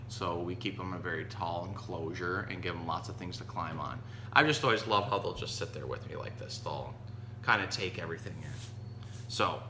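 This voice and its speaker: A man's voice: sits low, pushed and bright. A man hosting as a zookeeper, explaining animals in casual, educational talk. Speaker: zookeeper host. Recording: echoey room with faint hum.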